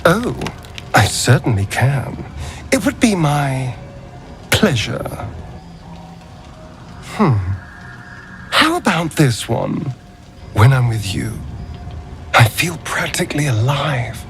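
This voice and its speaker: Slow, swooping, seductive suave lover. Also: male voice, mid-range voice, sing-song, faint music behind. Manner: teasing, purring, theatrical. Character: suave, flirtatious male lover with theatrical flourish. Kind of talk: dramatic game romance dialogue.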